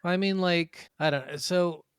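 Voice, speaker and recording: A man's voice: sits high, takes it slowly, clear, resonant tone, no echo, clean studio sound. A man co-hosting a gaming podcast in casual conversation, blunt and informal, with frequent swearing. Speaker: podcast co-host.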